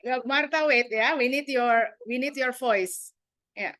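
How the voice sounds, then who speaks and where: A woman moderating a panel discussion and taking questions, relaxed and hesitant with many fillers. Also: sits high, a little slow.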